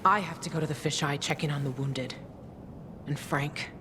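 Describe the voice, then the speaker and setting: A woman's voice: normal speed, long reverb tail, lightly acted. A woman playing a tough, protective ally in a video game, speaking in a guarded, direct and urgent manner. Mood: hushed worry. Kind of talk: video game cutscene acting. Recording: loud background rumble.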